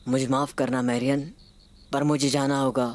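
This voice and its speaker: An actor playing a brave, wistful young outlaw hero in a cartoon, speaking in an earnest, heartfelt way. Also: male voice, medium pitch, little pitch movement, normal speed, close to an everyday voice. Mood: earnest, determined. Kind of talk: dubbed cartoon dialogue. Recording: loud music under dialogue. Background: loud music.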